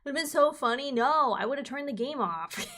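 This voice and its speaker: A woman's voice: sits high, lively intonation, moderate pace, fairly bright tone. Chatty, joking woman streamer. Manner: chatty and joking, laughs often. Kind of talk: casual live game commentary. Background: game music.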